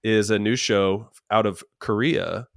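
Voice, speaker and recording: Young adult male podcast host, gravelly and hoarse from a sinus infection, low-pitched, dry close sound. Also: steady tone, unhurried, no room sound. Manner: easygoing, chatty, leads the topics. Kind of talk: casual chat.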